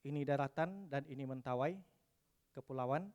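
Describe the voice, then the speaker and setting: A man from West Sumatra giving a technical conference presentation, pausing often with "eh" and dropping in English terms. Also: medium pitch, darkish tone, smooth. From West Sumatra, Indonesia. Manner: hesitant, frequent "eh" fillers, mixes in English terms.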